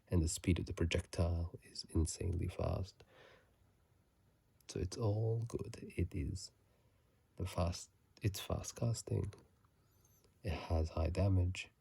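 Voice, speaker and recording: A man speaks in a husky, breathy murmur, often slipping into a whisper, close to a phone mic. His voice is very deep and dark, with slow, soothing delivery for ASMR game commentary. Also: no echo. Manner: soft, soothing, unhurried murmur with repeated phrases. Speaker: host of a gaming ASMR video. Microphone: phone microphone, close up.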